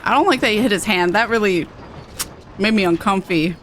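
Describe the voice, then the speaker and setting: A woman's voice: medium pitch, fairly quick, a bit bright, a little hiss or hum. A woman streamer giving casual game commentary in a playful, reactive way.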